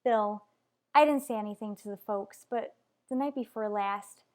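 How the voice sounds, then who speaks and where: A woman's voice: medium pitch, moderate pace, darkish tone, close to an everyday voice. A woman playing an anxious, clinging mother in an old-fashioned radio soap opera, speaking in an earnest, emotional way.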